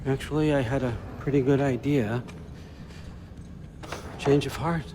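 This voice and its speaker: A man's voice: medium pitch, steady tone, measured pace, warm tone, slight background noise, natural voice. A man playing a sly, cagey patriarch in a video game, coaxing and self-assured, with clipped phrasing. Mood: sly, confident. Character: sly, commanding, cagey patriarch, smooth and testing. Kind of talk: dramatic video game cutscene acting.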